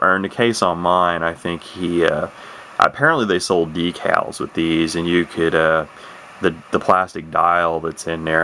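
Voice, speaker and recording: A man giving a casual, unscripted hobbyist demonstration in a rambling, halting way. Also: low-pitched, gravelly, sharp tone, slight background noise. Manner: rambling, halting, hesitant delivery. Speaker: radio hobbyist presenting a set.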